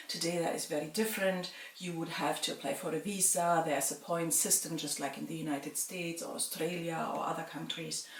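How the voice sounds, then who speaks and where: Middle-aged woman from germany, calm chatty q&a, lowish voice, echoey room, mic kinda far. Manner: calm, measured, with frequent ums. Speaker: vlogger. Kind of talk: casual Q&A talk to viewers. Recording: roomy sound from away from the mic.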